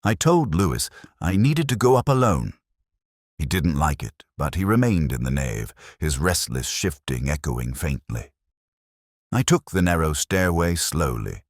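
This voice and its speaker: A man reading a scripted first-person detective story in a measured, solemn, literary manner. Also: rumbling low, sing-song, no echo, studio quality.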